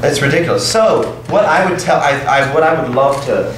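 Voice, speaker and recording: A young man with a Southern accent, a stage actor chatting with students in a relaxed question-and-answer session, telling stories animatedly and laughing often. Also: on the high side, a little fast. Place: college hall with audience. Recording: echoey hall from far off, noisy.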